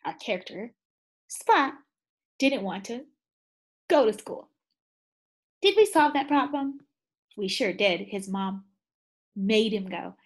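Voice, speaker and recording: Woman teacher, slow and lively read-aloud voice, a little breathy. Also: mid-range voice. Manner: animated, gasps and sighs, asks children questions. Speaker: second grade teacher. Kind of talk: children's read-aloud with questions.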